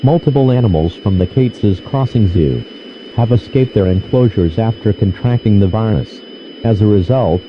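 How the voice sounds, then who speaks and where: Lowish robotic guy reading emergency alert, formal and stiff, muffled radio w some noise. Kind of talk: scripted emergency broadcast announcement. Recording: dull lo-fi radio with clear noise.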